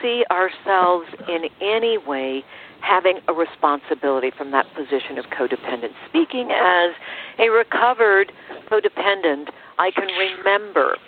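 A woman hosts an interview through a thin, band-limited channel with faint noise. Her voice is pitched rather low to the ear of no one in particular but clear in intent, phrased deliberately and with emphasis. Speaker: show host, interviewer. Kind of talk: conversational interview hosting. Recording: narrow radio-like sound, faint noise.

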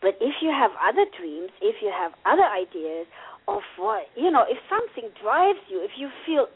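A woman's voice: a little high, sing-song, normal speed, slightly rough. A woman with a German accent, an author chatting earnestly in an interview and laughing often. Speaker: author and guest. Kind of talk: casual interview chat. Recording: phone-like radio audio.

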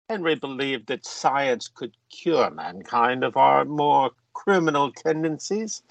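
An actor in a radio play playing a genteel, self-satisfied member of Parliament with old-fashioned, pompous phrasing. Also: male voice, a little high, vocal fry, no echo, exaggerated voice, poor audio. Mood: grave, reflective.

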